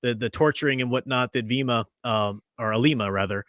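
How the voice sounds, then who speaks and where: A man's voice: medium pitch, brisk, fairly bright tone, no echo, studio quality. A man hosting a casual review chat, talking eagerly and at length, often restarting his sentences. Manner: enthusiastic, talkative, restarts often. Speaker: podcast host.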